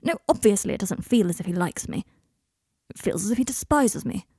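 Woman voicing a sardonic young man, mellow and dry. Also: medium pitch, animated, brisk, husky, no echo. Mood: bitter, wounded. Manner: sarcastic, rambling confessions with abrupt breaks. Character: sardonic, self-deprecating young man in therapy, deflecting and gloomy. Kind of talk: audiobook character dialogue.

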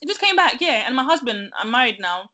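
A woman's voice: a little high, sing-song, fast talker, sharp tone. A woman from Nigeria, a Yoruba speaker, chatting casually as a guest and rambling with many restarts. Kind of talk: casual chat.

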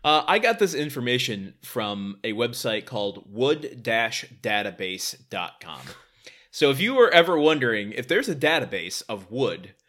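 Man, podcast co-host, chatty, laughs a lot, bright, lively pitch. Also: moderate pace. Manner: chatty, laughs often, trails into fillers. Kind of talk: casual chat.

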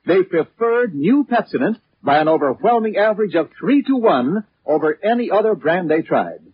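A muffled vintage radio recording with faint music behind. An American male announcer in 1940s style sells emphatically, his high voice rising and falling dramatically. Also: animated, normal speed, slight background noise. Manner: emphatic, selling.

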